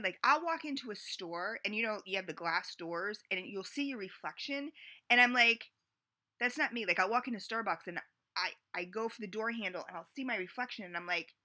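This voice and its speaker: Woman, late forties, chatty confessional vlogger, very bright tone, lots of fillers. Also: medium pitch. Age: 40s. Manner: rambling, chatty, full of "you know" and "like". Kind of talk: casual confessional vlog.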